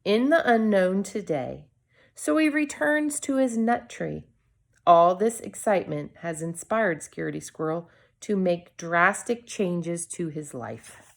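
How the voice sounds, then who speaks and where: A woman reading a storybook aloud to children, expressively, with deliberate dramatic pauses and short asides to her viewers. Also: on the low side, lively intonation, vocal fry.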